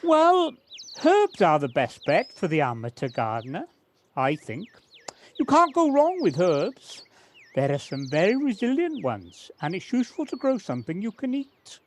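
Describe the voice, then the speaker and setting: An actor playing a kindly, modest gardener in an audio drama, hesitant and polite with slight stammers. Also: male voice, high voice, huge pitch swings, moderate pace, a little hiss or hum. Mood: keen, encouraging. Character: kindly, hesitant village gardener, Alan, modest and nature-loving. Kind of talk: amateur audio drama acting.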